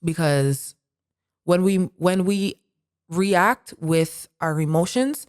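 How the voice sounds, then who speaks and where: A woman co-hosting a podcast who talks in an animated, reflective way, full of "like" fillers. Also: lowish voice, slow pace, no room sound, clean studio sound. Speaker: podcast co-host. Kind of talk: casual conversation.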